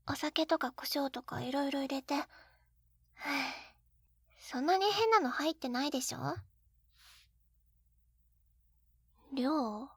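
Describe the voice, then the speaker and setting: A woman's voice: sits high, no room sound, studio quality. A woman playing an eager, clumsy, sweetly pouty young wife in an intimate binaural roleplay, with a cutesy, flustered delivery. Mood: defensive, pouty. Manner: cutesy, flustered, pouting, hesitant little gasps. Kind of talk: Japanese situation-voice roleplay, intimate ASMR audio drama. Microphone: close binaural 3Dio microphone.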